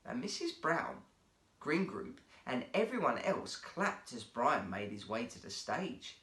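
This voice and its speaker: A man reading a children's story aloud as an expressive storyteller. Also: medium pitch, a little slow, echoey room. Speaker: guest storyteller, actor.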